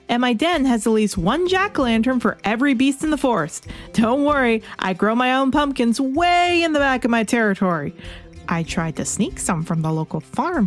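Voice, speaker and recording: A woman hosting a review show, reading a scripted video essay with a dramatic, emphatic storytelling delivery. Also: a little high. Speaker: host of a comic review show.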